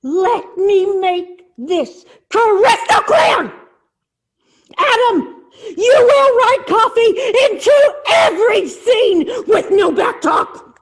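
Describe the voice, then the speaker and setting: Woman acting bossy fed-up community theater lady, really high voice, slow scolding delivery, web comedy. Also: big pitch swings, full character voice. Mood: furious. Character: exasperated bossy woman running an amateur theater production.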